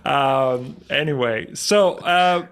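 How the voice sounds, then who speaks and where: A man's voice: mid-range voice, a bit bright, clear, resonant tone. A man hosting a podcast interview over a call, talking casually with lots of fillers and restarts. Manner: halting, many fillers and restarts. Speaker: podcast host.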